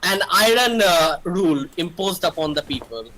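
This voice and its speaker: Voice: medium pitch, expressive, moderate pace, sharp tone, rough recording. A game writer talking casually and explaining ideas in a group brainstorming discussion on a livestream.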